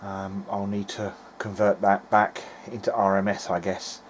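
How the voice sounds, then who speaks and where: A man's voice: fairly low pitch, little pitch movement, unhurried. A man giving an informal, hesitant video-diary narration as he tests equipment, thinking aloud in a noisy amateur recording. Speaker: hobbyist electronics repairer.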